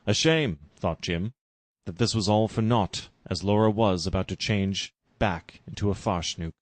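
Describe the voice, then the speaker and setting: A man reading a parody fan story aloud in a deadpan narrating manner. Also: on the low side, measured pace, no room sound. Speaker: reader.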